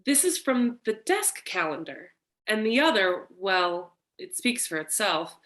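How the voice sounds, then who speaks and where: Actress doing a noir damsel in distress, seductive and scared, drags words out all dramatic, stage reading. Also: female voice, medium pitch, very animated, unhurried, fairly bright tone. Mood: earnest, urgent. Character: frightened, seductive femme fatale wife, breathy damsel in distress.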